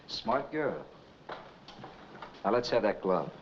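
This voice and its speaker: Slangy, cold-blooded noir crook. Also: male voice, lowish voice, expressive, slow. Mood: coolly menacing. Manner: slangy, tough talk. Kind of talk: 1940s film acting. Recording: muffled, hissy 1940s film soundtrack.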